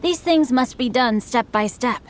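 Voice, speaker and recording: A woman's voice: on the high side, measured pace, close to an everyday voice. A woman voicing a refined, bookish teenage boy in a video game, flowery, composed and articulate, with faint noise behind. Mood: composed, playful. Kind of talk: video game dialogue acting.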